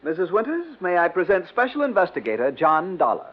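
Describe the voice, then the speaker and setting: A man's voice: high voice, huge pitch swings, a little fast, over loud music. An actor playing a prim, easily flustered insurance man with a formal manner in a 1950s radio drama. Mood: polite, eager. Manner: proper, fussy, formal. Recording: noisy, dull vintage radio recording.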